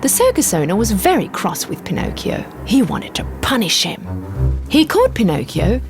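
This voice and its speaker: A woman storyteller reads a children's tale. Her intonation is very lively and expressive, at a middling pitch, with music clearly playing behind her. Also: normal speed. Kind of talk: scripted children's story narration.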